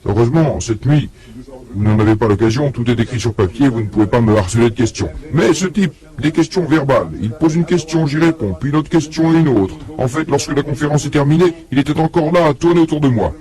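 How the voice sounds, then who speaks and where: A man's voice: mid-range voice, quick pace, warm tone. A man delivering a religious lecture to an audience, telling a story and acting out both sides of a conversation, often putting questions to his listeners. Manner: addresses the audience directly with questions.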